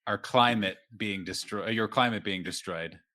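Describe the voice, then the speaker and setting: A man's voice: fairly low pitch, normal speed, bright, forceful tone. A man chatting casually as a player in a tabletop game, with a wry, joking manner. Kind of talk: casual chat.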